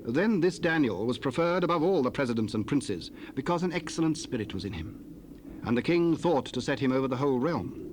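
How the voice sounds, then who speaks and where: Formal male narrator, mid-pitched, hissy cassette sound. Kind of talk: scripted scripture narration.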